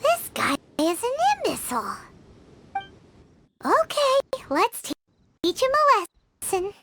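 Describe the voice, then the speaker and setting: A woman's voice: very high voice, very animated, slow pace, background noise, exaggerated voice. A woman voicing a small childlike floating fairy companion in a video game, indignant, bossy and theatrical. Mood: dismissive. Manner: speaks of self in third person, theatrical. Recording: loud game music behind voice.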